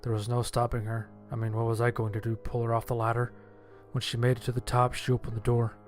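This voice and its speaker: A man narrates a horror story in the first person at a middling pitch. Faint music plays behind his scripted reading. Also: monotone, fast. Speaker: audiobook narrator. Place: voice-over with background music.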